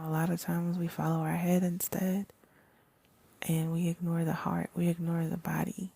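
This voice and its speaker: Woman, soft whisper, husky, mellow dark tone, gravelly. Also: on the low side. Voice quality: breathy. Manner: soft whispering, casual and swearing. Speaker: vlogger. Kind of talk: whispered ASMR-style self-help talk.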